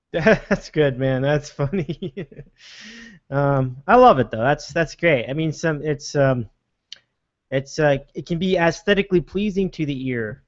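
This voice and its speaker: A man hosting a show, reacting warmly and upbeat, often halting and restarting his sentences. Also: a little high, lively intonation, dry recording. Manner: upbeat, affirming, halting with fillers. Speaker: host. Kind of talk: casual chat. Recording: dull, dry lo-fi sound.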